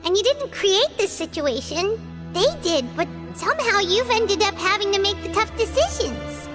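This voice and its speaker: Squeaky little fairy companion. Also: female voice, expressive, moderate pace, loud music behind the voice. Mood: sympathetic. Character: tiny, childlike female fairy companion, earnest and chirpy. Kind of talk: video game voice acting.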